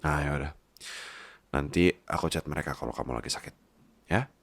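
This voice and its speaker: A man's voice: extremely low pitch, fairly quick, creaky, no echo. A man in an intimate ASMR roleplay, talking and telling stories in a playful, coaxing, colloquial way. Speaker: voice roleplay performer as doting husband.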